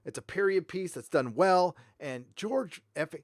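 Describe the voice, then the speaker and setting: Casting note: middle-aged male streamer, slightly high voice, loose and lively, dry close mic. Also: a little slow, no room sound. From Southern California. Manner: loud and animated, swings from mumbles to shouts. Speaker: livestream host. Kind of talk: casual livestream chat reading donations.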